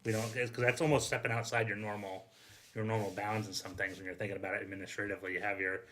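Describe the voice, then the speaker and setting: Male podcast co-host, lowish voice, casual conversational storyteller, informal and self-interrupting. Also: level tone, fast, fairly bright tone.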